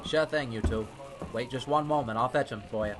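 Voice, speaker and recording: An actor playing a casual desk policeman in an old-time radio drama, booming and informal. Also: a little fast, close to an everyday voice. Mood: dutiful, informal. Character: loud, deep-voiced, casual male desk policeman.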